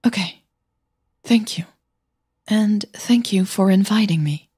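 A woman voicing an uneasy, polite young woman singer in an audio drama, with soft, breathy delivery. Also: mid-range voice, slow pace, voice pushed up. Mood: uneasy, apologetic.

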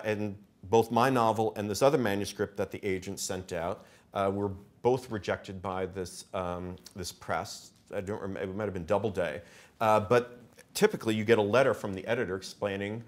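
A man telling anecdotes informally to an audience in a halting, wry, self-deprecating way. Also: on the low side, steady tone. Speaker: author and professor speaking at a reading. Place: wood-panelled auditorium stage. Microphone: podium microphone and lapel mic.

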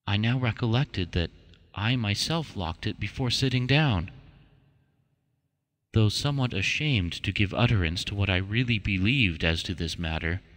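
A man narrates a ghost story audiobook in a fairly low voice. His delivery is measured, formal and deliberate. A long reverb trails behind his words. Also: animated, fairly bright tone.

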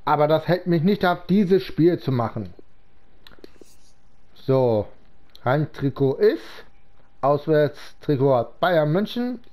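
A man with a slight cold giving casual commentary on his own video game match, talking slowly with many pauses. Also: fairly high pitch, lively intonation, warm tone. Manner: slow, halting talk with many pauses and fillers. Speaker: gaming channel host.

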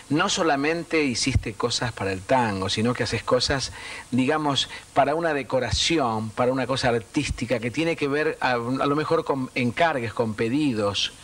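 A man with a Rioplatense accent hosting a TV interview, guiding the guest with measured remarks. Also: medium pitch, fairly bright tone. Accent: Rioplatense Spanish. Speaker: program host. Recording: distant mic with noticeable noise on old video.

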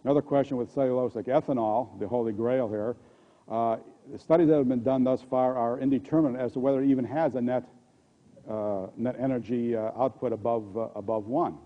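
An older man with an American accent gives a conference keynote at a podium microphone, speaking haltingly and often repeating words and restarting. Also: medium pitch, steady tone. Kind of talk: conference presentation. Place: conference hall stage at a podium. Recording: dull, muffled lo-fi audio.

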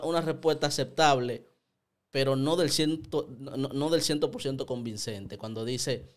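Animated young man commenting. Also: mid-range voice. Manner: animated, gestures a lot, frequent repeated words. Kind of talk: casual opinion commentary. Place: small indoor studio. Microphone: studio mic on stand, headphones.

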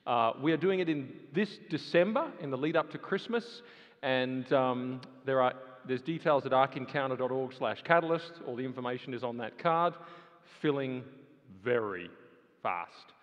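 A man making a casual, chatty announcement to an audience in a large auditorium, with lots of fillers and quick asides. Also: medium pitch, animated. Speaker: event presenter promoting a program. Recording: reverberant hall, dull sound.

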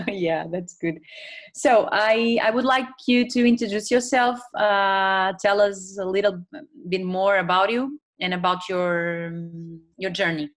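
A woman's voice: medium pitch, takes it slowly. A young woman with a Portuguese accent, chatting casually as an interviewer over a video call. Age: young adult. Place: indoor room. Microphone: video call, computer microphone.

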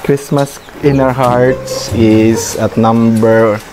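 A man's voice: medium pitch, steady tone, slow pace. A Filipino man in his thirties with a Filipino accent, presenting casually as a YouTube host and switching informally between two languages. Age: 30s. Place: home, room and open garden. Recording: music behind the voice. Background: loud music.

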